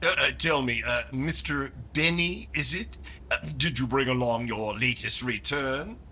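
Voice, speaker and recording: A man playing a sarcastic, unimpressed government tax examiner in a deadpan 1940s radio comedy style. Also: mid-range voice, unhurried. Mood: officious. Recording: very noisy radio play recording.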